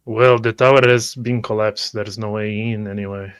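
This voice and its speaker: A man playing a tabletop roleplay, haltingly narrating his character's actions in the first person. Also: fairly low pitch, fairly even intonation, clean tone.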